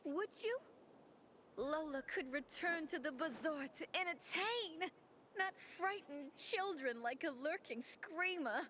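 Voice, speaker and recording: An actress voicing a flamboyant, vain diva singer who talks about herself in the third person, in video game dialogue. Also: female voice, high voice, expressive, unhurried, sharp tone, a little hiss or hum, heavily acted. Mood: theatrical.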